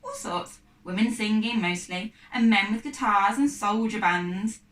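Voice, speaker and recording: A woman's voice: medium pitch, a little slow, a bit bright, close to an everyday voice. A woman voicing a blunt, fearless, streetwise boy who is proud and dismissive, in a storybook reading. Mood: matter-of-fact boasting. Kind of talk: storybook character dialogue. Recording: echoey room, distant mic.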